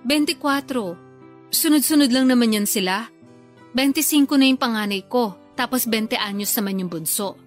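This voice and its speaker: A woman's voice: on the high side, normal speed, faint music behind, lightly acted. An actress playing a blunt, self-pitying older sister and struggling mother who complains defensively in a podcast drama. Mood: grumbling. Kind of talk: Filipino podcast radio drama dialogue.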